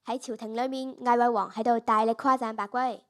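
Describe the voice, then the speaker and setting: A woman's voice: a little high, level tone, a little fast, fairly bright tone. A primary school girl with a Hong Kong Cantonese accent narrating a story in dramatic style. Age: child, primary school student.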